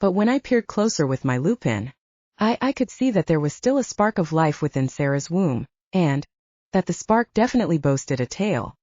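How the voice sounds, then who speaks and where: Female audiobook narrator, soft dark tone with lively pitch movement, close dry sound. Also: normal speed, dry recording, lo-fi sound. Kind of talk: scripted first-person fiction narration.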